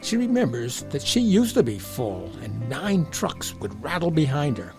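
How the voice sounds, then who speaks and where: Male narrator, storybook read, animated intonation, clear musical backing. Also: medium pitch, a little slow, over music.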